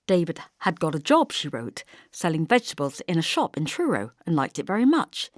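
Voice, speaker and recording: A woman's voice: low-pitched, sing-song, a little fast, no room sound, studio quality. A woman reading scripted first-person literary narration as an audiobook narrator.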